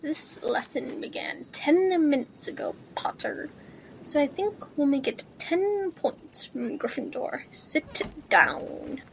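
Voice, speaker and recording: A woman's voice: high voice, lively intonation, slow, creaky, slight background noise, full character voice, poor audio. A woman playing a stern, cold, sneering male wizard schoolteacher in an audio drama, speaking in a clipped, disdainful way.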